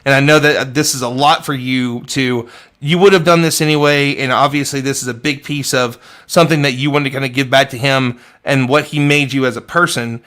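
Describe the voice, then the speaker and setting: A man's voice: mid-range voice, steady tone, fairly quick, a bit bright. A man hosting a live online panel, talking earnestly and rambling with many restarts. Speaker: host. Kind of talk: casual livestream chat.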